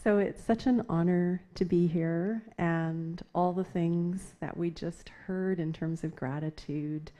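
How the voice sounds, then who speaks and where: A soft-spoken woman reads her poetry from a theatre stage, pacing each phrase with care. Her voice sits low and mellow, with a dark, gentle tone. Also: fairly even intonation, slow, few pauses. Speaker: poet reading her work. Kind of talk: poetry reading at a literary festival.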